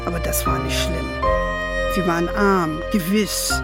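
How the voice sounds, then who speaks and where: An old grandmother played by a woman talks slowly and takes her time. She is gentle and a little wily, telling family stories as in a children's radio play, with music underneath. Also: on the low side, close to an everyday voice. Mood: storytelling. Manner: unhurried storyteller, sprinkles in English endearments.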